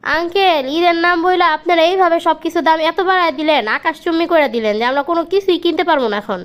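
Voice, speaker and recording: Very high-voiced exasperated Bangladeshi woman played by actress. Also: fast, a bit bright. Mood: complaining. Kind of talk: cartoon skit dialogue.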